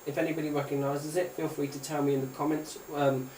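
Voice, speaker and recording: A British speaker giving a casual hands-on demonstration of a gadget, thinking aloud in a hesitant, halting way. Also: mid-range voice, level tone, warm tone, distant mic, slight background noise. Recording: roomy echo, off-mic, radio and music playing. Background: music from the player being shown.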